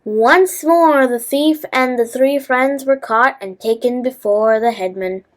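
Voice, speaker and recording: Female narrator for kids' moral tales. High-pitched, slow, slightly stumbling read. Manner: halting reading with stumbles over words. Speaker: storyteller. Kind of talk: scripted children's story narration.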